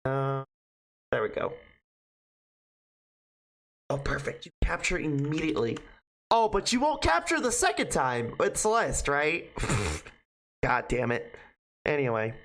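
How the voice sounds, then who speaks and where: A game streamer chatting casually to viewers and reading aloud, informal and profane, with a halting delivery. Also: mid-range voice, big pitch swings, a bit bright. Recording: music behind the voice. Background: music.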